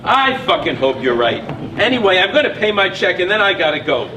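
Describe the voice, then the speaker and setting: An actor on stage playing a brusque, stressed boss of a small business. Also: male voice, high voice, big pitch swings, fairly quick, bright, forceful tone, heavily acted. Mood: hurried. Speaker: actor in a one-act play. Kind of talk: live stage play acting. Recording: echoey, distant live stage recording, faint noise.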